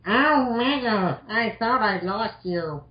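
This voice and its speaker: A man acts out a sweet, sentimental girl robot heroine for a fanfiction reading. The voice is pushed high and stretched slow with mock melodrama. The sound is roomy and slightly dull. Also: animated. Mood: relieved, tearful. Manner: drawn-out, mock-melodramatic delivery. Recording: dull, roomy home recording.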